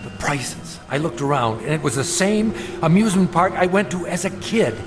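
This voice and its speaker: A man's voice: fairly high pitch, lively intonation, moderate pace, background noise, natural voice. A man playing a troubled, earnest middle-aged dreamer in a radio drama, confiding in an intense, hesitant, brooding way. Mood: haunted, recounting. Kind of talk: radio drama acting, confessional narration.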